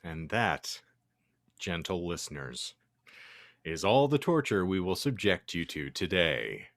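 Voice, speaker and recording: A man's voice: deep voice, big pitch swings, takes it slowly, a bit bright, no room sound, clean studio sound. A man hosting a comedic podcast, speaking in wordy, mock-formal announcer phrases. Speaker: podcast host. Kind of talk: comedic podcast hosting with fan fiction reading.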